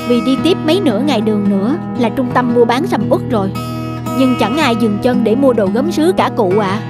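Woman as a polite high-voiced young potter. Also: expressive, a little fast, over loud music, close to an everyday voice. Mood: polite, earnest. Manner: courteous, humble. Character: polite, earnest young male pottery seller. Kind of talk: cartoon dubbing.

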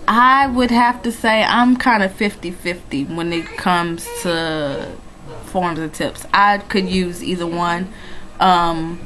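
Casting: woman, 30, at-home webcam vlogger, unhurried, easygoing, faint hum of the room behind her. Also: fairly low pitch, a bit bright. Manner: chatty, laughs often, many ums. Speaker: nail vlogger. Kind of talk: casual tag video chat. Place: living room at home, indoors. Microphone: webcam in front of her.

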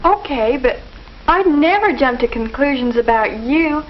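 A woman's voice: high voice, expressive, unhurried. A young woman playing a loyal, earnest teenage girl in a 1950s school film, speaking in a reassuring way. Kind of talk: 1950s educational film acting. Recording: muffled old film soundtrack with hiss.

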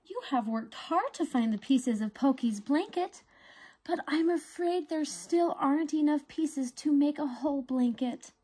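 A woman's voice: sits high, lively intonation, a little slow, warm tone. A woman voicing a firm but caring mother dog in a storybook read-aloud. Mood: gentle. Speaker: guest reader.

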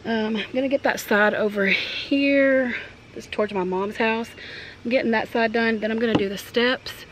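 A woman's voice: fairly high pitch, steady tone, normal speed, rough recording. A woman in her thirties chatting casually and informally to the camera as a home makeover vlogger, with a self-deprecating manner. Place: outdoors on a porch and wooden ramp. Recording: clear background noise, outdoor ambience.

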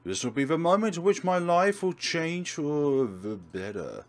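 A man's voice: fairly high pitch, very animated, unhurried. A man hosting a let's play, reading game text aloud and commentating with wry, theatrical asides. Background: game music.

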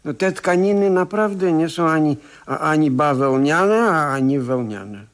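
An actor playing a fussy, deferential expert who stammers and hesitates in a 1970s radio play. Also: male voice, a little high, lively intonation, a little slow. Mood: nervous, hesitant. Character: timid, fussy male expert witness, deferential.

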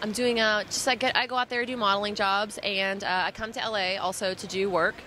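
A woman's voice: mid-range voice, steady tone, bright, forceful tone. A young woman being interviewed at a busy expo on a handheld mic, joking and laughing as she talks. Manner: playful, joking, quick to laugh. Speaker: bikini competitor guest. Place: busy expo hall, indoors. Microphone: handheld mic held by interviewer.

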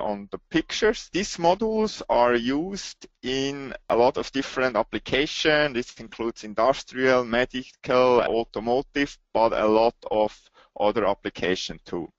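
Man with a Swiss accent presenting a software webinar, mid-pitch, halting delivery, dry and slightly dull sound. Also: dry recording. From Switzerland. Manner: halting, with frequent "uh" fillers.

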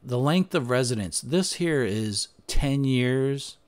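A man presenting history research over slides, speaking off the cuff with frequent pauses, "uh"s and restarts. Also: medium pitch, animated. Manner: unscripted, thinking aloud with frequent "uh" and restarts. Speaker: history podcaster. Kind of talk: screen-share presentation, talking over slides.

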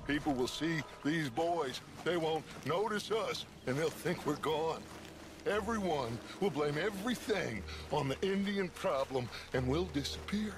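A man's voice: on the high side, noisy background. A man playing a scheming, theatrical outlaw gang leader in a video game cutscene. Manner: grandiose, persuasive, theatrical. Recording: game audio with loud score.

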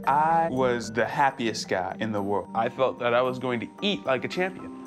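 A man's voice: mid-range voice, expressive, moderate pace. Wry man recalling a letdown. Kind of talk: reality TV interview. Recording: background music.

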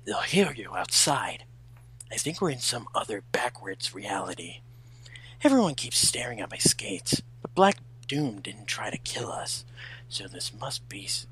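Brooding cartoon hedgehog rival, played by a man: condescending, rasping and breathy, bright edge, curt. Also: fairly low pitch, full character voice, rough recording. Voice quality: husky, breathy.

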